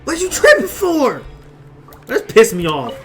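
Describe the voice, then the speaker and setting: A woman's voice: high voice, wildly swooping pitch, a little slow, husky. A woman streaming a game with casual, slangy, blunt commentary. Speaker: gameplay streamer. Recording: game music behind commentary.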